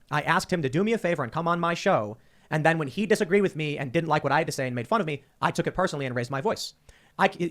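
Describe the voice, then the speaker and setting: A man hosting a casual talk show, talking quickly and animatedly and often repeating words as he starts a sentence. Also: fairly high pitch, a bit bright. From Chicago. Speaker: podcast host.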